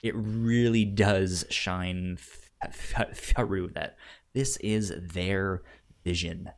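A man co-hosting a casual review podcast, with a stutter that repeats sounds and a halting, easygoing delivery. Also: low-pitched, steady tone. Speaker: podcast co-host.

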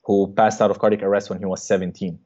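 A low-voiced man in his thirties, originally from Egypt, speaks as a cardiologist guest in a casual interview. His tone is mellow and he talks haltingly, with a lot of fillers. The recording sounds dull and lo-fi. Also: little pitch movement, breathy edge. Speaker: guest, cardiologist and startup co-founder.

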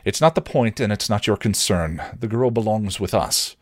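Guy doing a gruff bossy dad in a story, insistent, pitched up a bit, very dry sound. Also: animated, normal speed, no room sound. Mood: stern, insistent. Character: stern, gruff, controlling middle-aged father.

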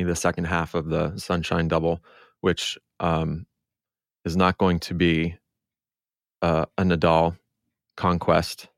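A man's voice: sits low, dry recording, clean studio sound. A man co-hosting a sports podcast, speaking in a casual conversational way. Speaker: podcast co-host.

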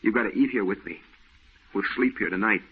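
A man's voice: on the low side, brisk, slight background noise, close to an everyday voice. A man playing a troubled, secretive painter who speaks tersely and guardedly, in 1940s radio acting. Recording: tinny old radio broadcast.

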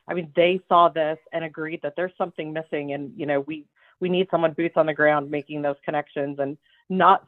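A woman's voice: low voice, no room sound. A woman speaking casually in an interview as a business development guest. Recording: thin phone-like band, very dry.

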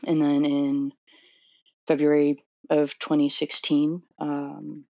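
A woman's voice: deep voice, monotone, slow pace, creaky, warm tone, no echo, lo-fi sound. A woman in her thirties from Florida, a doctoral student answering interview questions thoughtfully, with many fillers and restarts. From Florida, USA.

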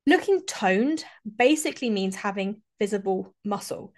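A woman's voice: mid-range voice, expressive, moderate pace. A woman, hosting a solo podcast in a chatty, enthusiastic way while a little congested from a cold. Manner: chatty, enthusiastic, repeats and restarts phrases. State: slightly congested, recovering from a cold. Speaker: podcast host and fitness coach.

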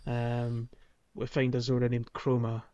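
A man's voice: mid-range voice, monotone, measured pace, warm tone, clear, resonant tone. A man co-hosting a casual gaming podcast, often hesitant and searching for words as he chats. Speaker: podcast co-host.

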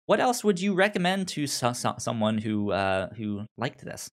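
A man hosting a casual podcast, talking in a halting way and often repeating words as he starts sentences. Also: medium pitch, big pitch swings. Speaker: podcast host steering the segments. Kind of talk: casual chat.

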